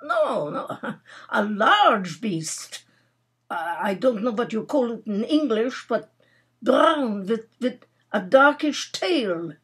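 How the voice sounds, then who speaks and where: A woman voicing a radiant, oblivious Russian youth, boyish, eager and halting, in a storybook reading. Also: sits high, huge pitch swings, pushed and bright, voice pushed up, exaggerated voice. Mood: excited, proud.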